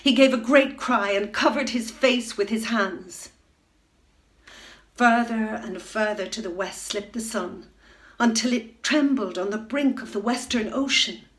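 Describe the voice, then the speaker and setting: A middle-aged woman reading a children's bedtime story aloud at home, expressive, into a webcam. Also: fairly high pitch, unhurried. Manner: expressive, rising to loud excitement in dramatic moments. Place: room at home.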